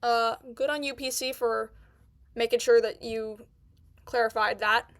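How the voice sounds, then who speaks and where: Brief: woman streamer with a stutter, fairly high voice, dry close sound, indignant reaction commentary. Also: steady tone, measured pace, no echo. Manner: indignant, repeats herself.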